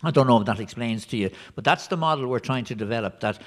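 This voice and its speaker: A man's voice: medium pitch, quick pace. A middle-aged man answering a question on a conference panel in a function room, reflective and hesitant with restarts. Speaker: panel member for community radio.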